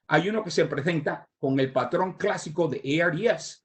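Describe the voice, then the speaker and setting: An older man born in Cuba and raised in the United States, with a Cuban Spanish accent shaped by English, gives a medical lecture as a surgeon, pausing often on "ah" fillers and restarting his sentences. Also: on the high side. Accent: Cuban Spanish with American English influence. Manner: halting, with many "ah" fillers and restarts. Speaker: surgeon giving a conference talk.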